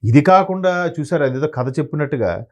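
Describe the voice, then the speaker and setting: A man's voice: on the high side, lively intonation, a little fast, a little mellow. A man speaking as an analyst guest, talking in a rambling, sombre way with many restarts. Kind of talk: conversational commentary.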